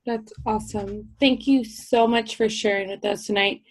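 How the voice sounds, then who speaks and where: A woman's voice: mid-range voice, steady tone, moderate pace. Effusive woman podcast host. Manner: warm and effusive, many thanks and praise. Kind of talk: casual interview chat.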